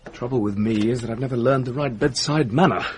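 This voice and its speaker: An actor playing a modest, good-natured English village doctor in a radio drama, self-deprecating and gently hesitant. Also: male voice, medium pitch, quick pace, a little hiss or hum, close to an everyday voice. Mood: reassuring.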